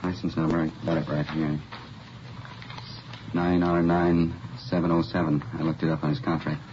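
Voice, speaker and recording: An American man playing an eager, excitable used car dealer in a vintage radio crime drama, hurried and insistent. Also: extremely low pitch. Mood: excited, confident. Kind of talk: 1950s radio acting. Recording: dull, noisy vintage radio recording.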